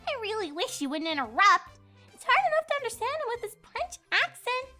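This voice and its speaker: A woman voicing a goofy, excitable female cartoon pony with a chirpy, exaggerated delivery in a comedic reading. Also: extremely high pitch, brisk, faint music behind, heavily acted. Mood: chatty, scatterbrained. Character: bubbly, goofy pink cartoon mare, scatterbrained and excitable. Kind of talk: acted comedic fan fiction reading.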